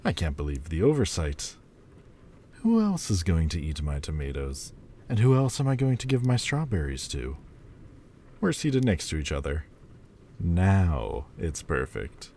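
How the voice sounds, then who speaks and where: A man voicing the male Lord of Demons in an audio roleplay, teasing and playful, turning halting and hesitant. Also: low voice, sing-song, darkish tone, a little hiss or hum, exaggerated voice. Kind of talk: listener-directed audio roleplay.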